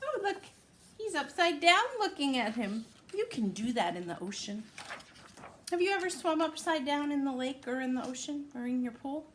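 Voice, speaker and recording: A woman reads a picture book aloud to young children like a teacher, pausing to ask them questions and explain words simply. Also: sits high, very animated, a bit bright, slight background noise. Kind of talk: picture-book read-aloud with chatty asides.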